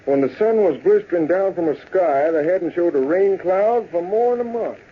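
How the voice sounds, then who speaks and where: A man's voice: high-pitched, expressive, moderate pace. A man playing a folksy American old-west storyteller in an old-time radio western, speaking in a colloquial drawl. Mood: folksy, relishing. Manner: drawling, colloquial, chuckling cowboy yarn-spinning. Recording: tinny, noisy old radio broadcast recording.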